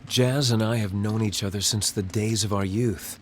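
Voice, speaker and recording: An actor playing a calm, sincere young prince in a game cutscene, speaking in a measured, formal, soft-spoken way. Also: male voice, on the low side, slight background noise, lightly acted. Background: game soundtrack music.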